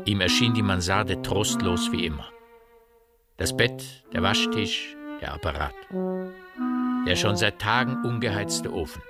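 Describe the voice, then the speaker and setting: A man's voice: sits low, a little slow, loud music behind the voice. A man narrating a radio drama in scripted literary prose.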